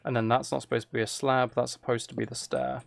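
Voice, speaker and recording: Gravelly man thinking aloud over a game. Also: medium pitch, flat delivery, quick pace, a little hiss or hum. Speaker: streamer playing a building game. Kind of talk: casual gameplay commentary, chatting with viewers.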